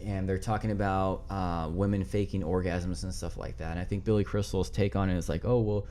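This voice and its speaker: A man's voice: low-pitched, fairly even intonation, fairly quick, mellow. A man hosting a casual podcast, counting down a list of movies, rambling and swearing while he fumbles for names. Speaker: podcast host.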